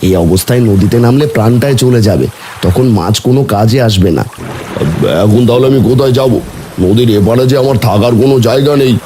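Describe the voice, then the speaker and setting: A man playing a kindly, humble village man in an animated cartoon story. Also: mid-range voice, quick pace, slight background noise, lightly acted. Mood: worried. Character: kindly humble villagers: poor boatman and lonely widower. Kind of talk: animated story character dialogue.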